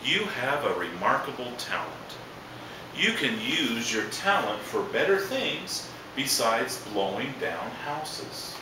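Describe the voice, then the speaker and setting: A man voicing a kindly, hospitable third little pig in a storybook, gentle and reassuring. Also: medium pitch, very animated, slow pace. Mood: consoling. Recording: echoey, distant, noisy room recording.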